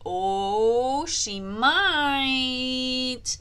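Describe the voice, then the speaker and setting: A woman's voice: high voice, lively intonation, very slow, bright, forceful tone, clear, resonant tone. A woman hosting an interactive lesson for young children, playfully exaggerated and encouraging, asking questions and drawing out key words. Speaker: children's teacher and host of a read-aloud language activity.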